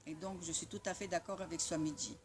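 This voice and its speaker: A woman whose first language is Italian, telling lively, wry stories about her own schooling. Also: fairly low pitch, quick pace, noisy background. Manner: lively, wry, spirited storyteller. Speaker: spiritual community member sharing a testimony. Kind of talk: personal storytelling after a morning talk.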